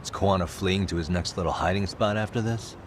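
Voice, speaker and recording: An actor playing a cool, confident detective in a video game cutscene, wry, blunt and challenging. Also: male voice, deep voice, quick pace, background noise, close to an everyday voice. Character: cool, confident male private detective, dogged and sardonic.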